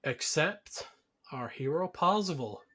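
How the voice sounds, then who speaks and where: A man co-hosting a podcast, talking through a film in a casual, conversational recap with quick acted asides. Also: on the high side, lively intonation, a bit bright, husky. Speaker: podcast co-host.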